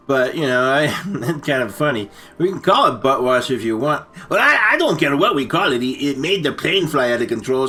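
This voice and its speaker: Male comic storyteller: bright, forceful tone, rambling and stammering delivery, constantly drifting into asides. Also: a little high, slightly rough. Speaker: story-time show host. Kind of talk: comic, improvised movie recap storytelling.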